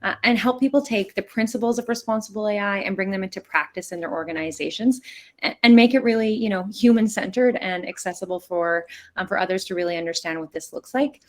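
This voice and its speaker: A woman's voice: medium pitch, fast. A woman from Canada introducing herself as a panelist on a webinar over a video call, upbeat and professional.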